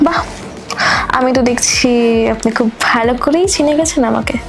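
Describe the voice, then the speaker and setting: Woman playing a high-voiced, defiant policewoman. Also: moderate pace. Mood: cool, challenging. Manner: firm, curt, challenging. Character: fearless, defiant young policewoman, soldier's daughter. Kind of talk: audio drama acting. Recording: music behind the voice.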